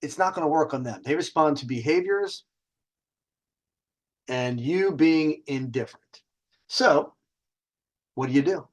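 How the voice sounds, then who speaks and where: A man's voice: on the high side, unhurried, long pauses. A man hosting a casual storytelling session with dating advice, talking in a cocky, conversational way and swearing freely. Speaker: host telling personal stories and dating advice.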